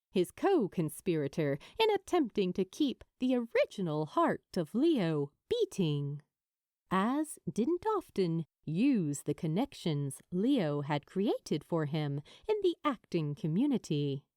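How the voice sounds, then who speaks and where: A woman narrating fiction as a dramatic storyteller, in a measured, deliberate way with emphatic pauses. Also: mid-range voice, warm tone, dry recording, studio quality. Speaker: audiobook narrator.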